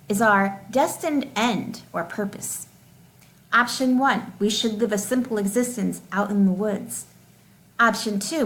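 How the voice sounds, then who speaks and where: A woman with an average-pitched voice reads out a test like a teacher. Her delivery is deliberate and enunciated, with the numbered questions and their options kept clearly separate. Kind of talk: reading test questions and answer options aloud.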